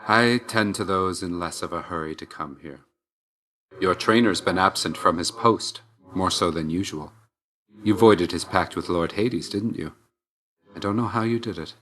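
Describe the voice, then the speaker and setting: A man voicing a stern, reserved and dutiful god of death in a video game, speaking in a formal, measured way. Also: sits low, a bit bright. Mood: wry, stern. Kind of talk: video game character voice lines.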